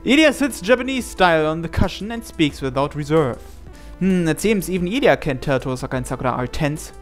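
Higher-voiced man reading game narration aloud. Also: animated, brisk, soft background music. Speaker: reader narrating a visual novel playthrough.

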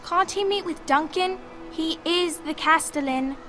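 An actress playing a gentle, worried young noblewoman in a fantasy video game, soft-spoken. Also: extremely high pitch, unhurried, soft background music, full character voice. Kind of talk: dramatic video game acting.

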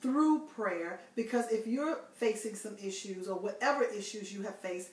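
A woman's voice: mid-range voice, moderate pace. Woman pastor, slightly distant, roomy. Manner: warm affirming, preacherly cadence. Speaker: pastor and author. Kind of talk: casual teaching chat. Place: living room, indoors. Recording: roomy echo, slightly off-mic.

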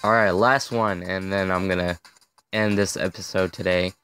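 A man's voice: low voice, steady tone, moderate pace. A young man giving casual mobile gameplay commentary to his viewers, his voice strained and fading, chatty and easily frustrated with the game. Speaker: gaming video host.

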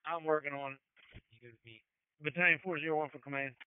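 A man's voice: fairly high pitch, fairly even intonation, brisk, clear, resonant tone, dry recording. A man speaking as a fire incident commander, giving terse, procedural orders over a two-way radio. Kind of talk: emergency radio dispatching. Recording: phone-like fire radio channel.

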